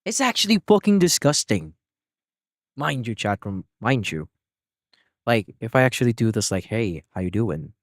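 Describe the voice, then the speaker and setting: A man live streaming, chatting casually to his viewers in an excitable, rambling way with lots of restarts. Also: medium pitch, moderate pace, pauses often, no room sound, clean studio sound. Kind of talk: casual chat to a live audience.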